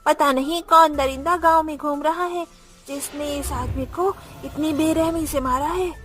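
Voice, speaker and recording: A woman acts a worried villager in a scary story. The voice is high, anxious and earnest, with soft music in the background. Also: fairly even intonation, a little slow. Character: worried villager fretting over killings.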